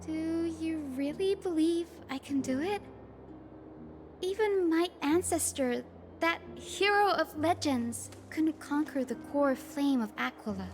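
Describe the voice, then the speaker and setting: A woman's voice: sits high, slow pace, slight background noise. A woman playing a gracious, optimistic young noble heroine in a fantasy game, speaking politely and earnestly. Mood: earnest, hopeful. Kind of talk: scripted fantasy game voice acting. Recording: music behind the voice.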